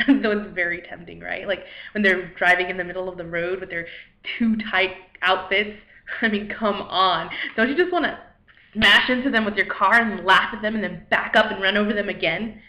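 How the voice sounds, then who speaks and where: A woman acting a dramatic monologue as a weary, self-deprecating failed poet, anxious and rambling, in a halting, wry, conversational way. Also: medium pitch, pushed and bright. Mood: wry, gleeful. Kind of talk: dramatic monologue, cold read. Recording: echoey room, dull lo-fi microphone.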